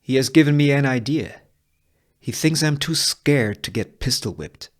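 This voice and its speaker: Brothel boss in a western read by a man: hard woman, brisk orders, calculating. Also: medium pitch, voice pushed up, lightly acted. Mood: scheming. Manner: curt, commanding.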